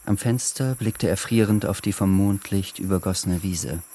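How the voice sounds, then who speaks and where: Low-voiced male radio drama narrator. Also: moderate pace, mellow. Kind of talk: scripted literary radio narration. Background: faint music.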